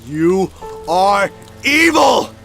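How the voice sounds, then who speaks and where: An actor playing a grave, righteous vampire hunter in a radio drama, declaiming in a solemn, commanding way. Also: male voice, sits high, sing-song, drawn-out words, a bit bright, faint music behind, heavily acted. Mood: furious, accusing.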